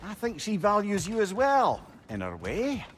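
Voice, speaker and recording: Cheeky older Scottish sage for a game: high-pitched, very animated, chatty and wry. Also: male voice, measured pace, heavy background noise, heavily acted. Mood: wry, prodding. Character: witty, talkative, cheeky older male companion sage. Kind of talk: video game cutscene acting. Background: game music.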